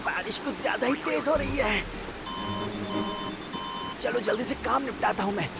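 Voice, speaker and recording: A woman voicing a cocky, brave young diesel train in an animated children's series dub. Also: a little high, moderate pace, creaky, heavy background noise. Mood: determined.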